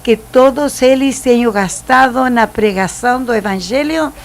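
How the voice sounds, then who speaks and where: A woman in her seventies with a Chilean Spanish accent, a missionary giving a halting, preaching testimony and searching for words. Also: a little high. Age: 70s. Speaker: missionary guest.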